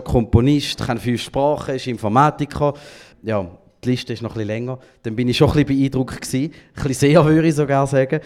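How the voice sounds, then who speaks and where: A man's voice: medium pitch, a little mellow. A man with a Swiss German accent hosting a talk show, musing in loose conversational phrasing as he questions his guest. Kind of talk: casual interview talk.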